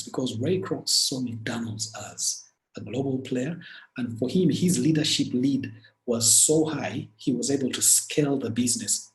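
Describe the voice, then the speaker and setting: A man with a Ugandan accent, from Uganda, giving a webinar talk as the speaker and walking through business stories in an earnest, lively way. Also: medium pitch. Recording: echoey room.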